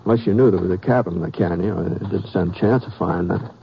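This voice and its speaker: A man's voice: low-pitched, fast, creaky. An American actor playing a terse, plain-spoken frontier lawman who tells his own story in a 1950s western radio drama. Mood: measured narrating. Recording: muffled old radio recording with background hiss.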